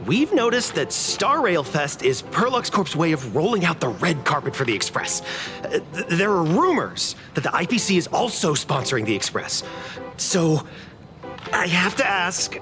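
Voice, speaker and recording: A woman playing an eager, polite young interviewer in an anime-style game. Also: on the low side. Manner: probing, animated. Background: game music.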